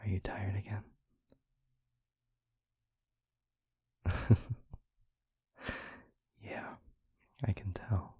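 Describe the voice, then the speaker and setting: Man playing a hushed, breathy vampire boyfriend. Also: fairly low pitch, little pitch movement, no echo, full character voice. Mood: soothing, affectionate. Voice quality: breathy, hushed, husky near-whisper. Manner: slow, halting, tender reassurance. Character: gentle, devoted male vampire boyfriend, earnest and soothing. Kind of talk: intimate whispered audio roleplay.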